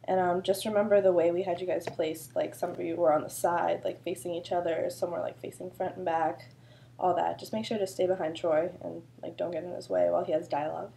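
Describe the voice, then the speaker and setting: A woman's voice: fairly low pitch, little pitch movement, a little fast, gravelly, dark tone, slight background noise. A woman choreographer with a sore throat, explaining a dance routine in a chatty, loose way full of fillers. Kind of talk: casual dance instruction video.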